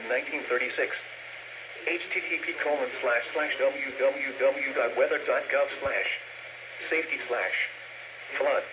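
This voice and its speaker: A man's voice: medium pitch, noisy background. A synthetic male text-to-speech voice reads scripted emergency bulletins as an automated weather radio announcer, formal and impersonal, in short segmented phrases. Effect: weather radio broadcast. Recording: muffled lo-fi weather radio speaker.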